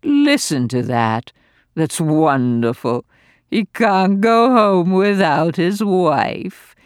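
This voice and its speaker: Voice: mid-range voice, very animated, slow pace, clean tone, dry recording, studio quality, pitched above the performer's own voice. A reader voicing a spiteful, venomous old aristocratic woman who sneers and gloats theatrically. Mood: gloating.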